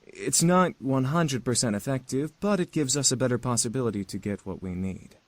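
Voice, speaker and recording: Man playing a measured, formal young demon gentleman. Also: medium pitch, animated, no room sound, lightly acted. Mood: earnest, explanatory. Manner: measured, formal, explanatory phrasing. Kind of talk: visual novel character acting.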